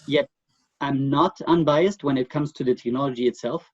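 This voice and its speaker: A man's voice: medium pitch, no echo. German-accented man, muffled call audio. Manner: thoughtful, halting, with many fillers and restarts. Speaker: guest expert. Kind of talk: remote interview.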